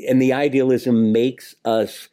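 An older man in a casual, reflective panel discussion, speaking in a halting, thoughtful way. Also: medium pitch, level tone, dark tone. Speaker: podcast panelist.

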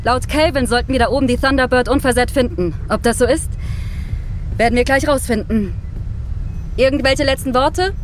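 Woman acting as higher-voiced, brisk astronaut crew leader. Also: slight background noise, close to an everyday voice. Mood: brisk, focused. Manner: brisk, businesslike. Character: female astronaut leading Mars crew before launch. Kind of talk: audio drama dialogue.

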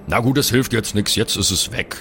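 A man's voice: fairly low pitch, moderate pace, pushed and bright, slight background noise. A man chatting casually and colloquially as he plays an improvised tabletop role-playing game. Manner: colloquial, slangy.

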